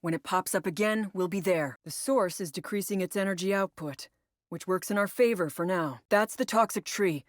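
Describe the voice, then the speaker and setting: An actress playing a military woman leading a squad in a sci-fi shooter game. Her voice is on the low side and recorded very dry. She gives mission orders in a clipped, instructive manner. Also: normal speed, no room sound, close to an everyday voice. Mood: focused, commanding. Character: female military operative briefing squad on alien parasite missions. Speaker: mission briefer and incursion leader. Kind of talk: scripted video game mission briefing.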